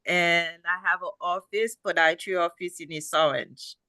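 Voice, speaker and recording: Bright-voiced middle-aged Haitian woman. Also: lowish voice, steady tone, normal speed, no room sound. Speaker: podiatrist, panel guest. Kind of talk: explaining remedies on a medical panel. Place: online webinar call.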